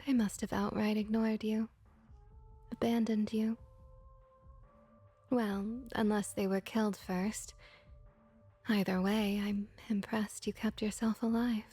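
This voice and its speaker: A woman playing a friendly female vampire innkeeper and bartender in an ASMR roleplay, speaking softly and gently, often near a whisper. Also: mid-range voice, level tone, soft background music. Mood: sympathetic. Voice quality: soft, breathy, often slipping into whispers. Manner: unhurried, gentle, reassuring.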